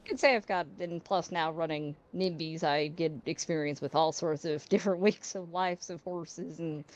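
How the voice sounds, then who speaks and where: A woman's voice: sits low, fairly even intonation, moderate pace. A woman chatting in character during a roleplay game, easygoing and chatty as she tells stories.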